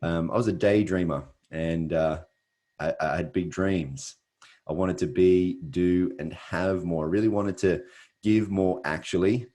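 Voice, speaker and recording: Very deep-voiced Australian man. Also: a little slow, dark tone. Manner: earnest, repetitive, frequent "uh" fillers. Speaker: webinar host. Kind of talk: motivational presentation.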